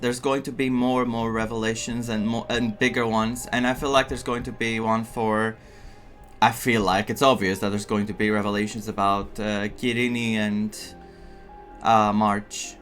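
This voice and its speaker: Slow-talking man reacting to a game. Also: mid-range voice, fairly bright tone. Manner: reflective, halting, repeats phrases. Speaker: gaming streamer. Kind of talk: casual playthrough reaction commentary. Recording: game music behind the voice.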